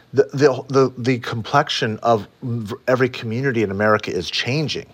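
A man with a fairly low voice comments on politics as a radio panel guest. He speaks with emphasis and stumbles over restarts before building his point.

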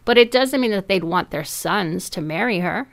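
A woman's voice: mid-range voice, expressive, normal speed. A woman guest giving interview commentary, emphatic and explaining with frequent tag questions.

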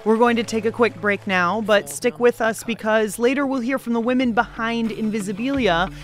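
A woman's voice: on the high side, moderate pace. A woman with an American accent hosting a radio show in scripted narration.

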